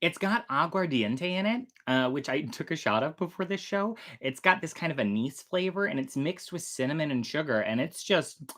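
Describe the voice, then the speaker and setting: Animated storytelling host with swooping pitch. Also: moderate pace, few pauses. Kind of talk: casual livestream presenting to camera.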